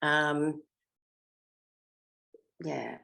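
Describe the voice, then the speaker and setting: An older woman with a slightly low voice speaks as an interview guest looking back on her acting days. She is effusive in her praise and often pauses as she reaches for details. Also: fairly even intonation, vocal fry, bright, forceful tone. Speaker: interview guest, former TV actress. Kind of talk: reminiscing interview chat.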